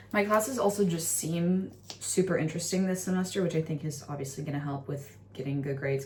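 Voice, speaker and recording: A woman's voice: lowish voice, a little mellow. A young American woman chatting casually as a student vlogger, recapping her days in an informal way. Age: young adult. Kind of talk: casual vlog chat with voice-over recaps.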